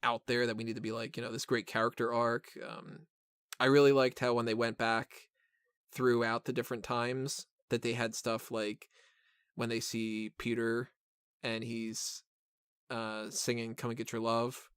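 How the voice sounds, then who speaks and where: A man with a clean, smooth voice at an ordinary pitch hosts a casual film podcast. He rambles informally like a keen fan, with the odd swear word. The sound is dry and close, with very little room. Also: flat delivery, unhurried, a bit bright, dry recording. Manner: rambling, informal, mildly profane fan talk. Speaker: podcast host reviewing films.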